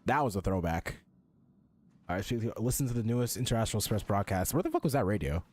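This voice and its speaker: A man's voice: fairly low pitch, sing-song, fast talker, a little mellow, soft background music. A man giving casual let's play commentary in a jokey, rambling, sweary way. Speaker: game streamer.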